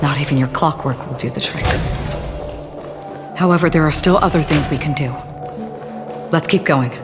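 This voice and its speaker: An actress playing a calm, solemn, mysterious wanderer in a video game, speaking in a measured, grave manner. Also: female voice, sits low, in a large hall, natural voice. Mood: solemn, resolute. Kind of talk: scripted game story dialogue. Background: game music.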